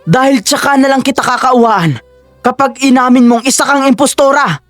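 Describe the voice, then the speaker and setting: A man's voice: extremely high pitch, normal speed, a bit bright, dry recording, faint music behind. A man playing a cruel, arrogant husband in a Filipino audio drama, with a taunting, theatrical delivery. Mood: sneering anger. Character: cruel, arrogant, sneering adult husband, a melodrama villain.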